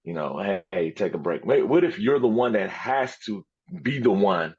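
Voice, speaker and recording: A man's voice: on the low side, expressive, moderate pace, a little mellow, husky, dry recording, highs cut off. A man hosting a podcast who talks emphatically and repeats phrases to drive his point home. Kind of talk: podcast conversation.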